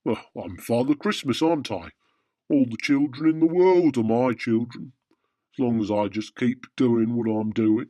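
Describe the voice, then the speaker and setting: Radio-drama Santa played by a man: immortal, weary, wryly deadpan saint. Middling pitch, measured delivery, dry close sound. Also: dry recording, lightly acted. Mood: deadpan, assured. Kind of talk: radio drama dialogue in comic pantomime.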